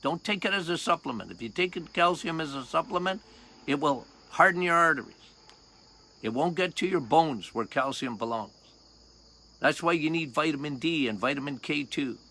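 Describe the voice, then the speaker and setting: Loud, emphatic older man outdoors. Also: fairly high pitch, animated, normal speed. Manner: emphatic, repeats key points, asks the listener questions. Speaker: doctor hosting a health podcast. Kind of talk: casual health talk monologue.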